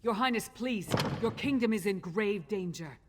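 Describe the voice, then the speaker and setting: An actress playing a blunt, loyal, towering half-giant warrior woman in a fantasy video game, gruff and resolute. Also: medium pitch, lively intonation, moderate pace, background noise, lightly acted. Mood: urgent. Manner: plain-spoken, gruff, resolute.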